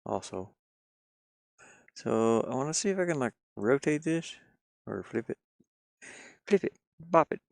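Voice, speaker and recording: A man's voice: mid-range voice, animated, a little slow, gravelly, long pauses, no room sound, clean studio sound. A man talking himself through his work in a casual think-aloud commentary, muttering playfully. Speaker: game developer streaming his pixel art work.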